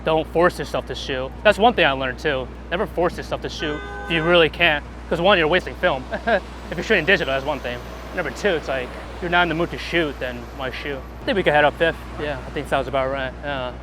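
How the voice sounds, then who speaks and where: A man with a slightly high-pitched voice talks off the cuff in a casual outdoor interview. He is animated and informal, easy to amuse and loose with his language. Clear street noise and traffic sit behind him. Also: brisk. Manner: animated, informal, laughs easily, some swearing. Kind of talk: casual chat while walking outdoors. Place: outdoors on busy city streets.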